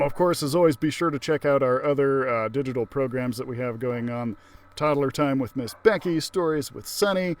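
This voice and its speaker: A man reading aloud to children as a library story-time host, expressive, with casual asides. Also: medium pitch.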